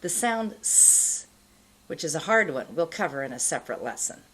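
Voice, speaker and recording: A middle-aged woman giving an instructional pronunciation lesson, explaining in a deliberate, carefully enunciated way. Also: low voice, expressive, fairly bright tone, slightly rough, rough recording. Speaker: pronunciation teacher. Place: indoor studio, plain backdrop.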